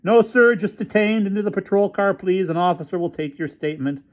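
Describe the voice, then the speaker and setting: A man's voice: high-pitched, brisk, lo-fi sound, pitched above the performer's own voice, natural voice. A man doing a stern, polite police officer. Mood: firm.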